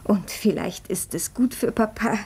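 A woman's voice: medium pitch, animated, measured pace, husky, full character voice. An actress playing a nervous, impulsive young upper-class woman in a period radio drama, intense and abrupt. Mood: gushing, wistful. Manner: intense, abrupt, swinging between gushing and sharp.

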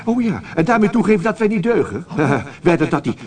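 An actor playing a suspicious, blustering small-town sheriff in a radio comedy, repeating his phrases and swinging between soothing and shouting. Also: high voice, big pitch swings, fairly quick, recorded from a distance. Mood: conspiratorial, indignant. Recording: faintly noisy 1980 radio recording, off-mic.

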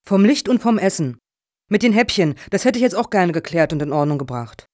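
Woman as brisk, dry-sounding official. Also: fairly low pitch, very animated, darkish tone, no echo. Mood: firm. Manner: firm, businesslike. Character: brisk, officious female foundation official taking charge. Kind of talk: satirical radio play dialogue.

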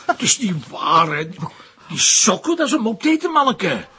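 A man's voice: sits high, sing-song, moderate pace, pushed and bright, exaggerated voice. An actor playing a harried detective and father in a radio drama, with a Flemish accent and a grumbling, wry, colloquial manner. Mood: exasperated.

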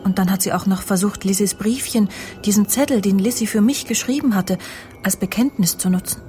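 A woman's voice: mid-range voice, brisk, dark tone, a little hiss or hum, natural voice. An actress playing a vain, self-assured woman journalist in a radio crime drama, confiding and imperious as she justifies herself. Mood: indignant, self-justifying.

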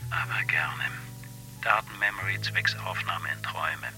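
An actor playing a dutiful male wristwatch memory assistant in a radio play, whispering everything in a flat manner and confirming each item as noted. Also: deep voice, moderate pace, rough recording. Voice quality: whispered, toneless. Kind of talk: radio drama acting. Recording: music under the voice.

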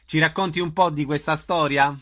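A man's voice: on the high side, fairly even intonation, moderate pace, fairly bright tone. Man hosting a radio interview.

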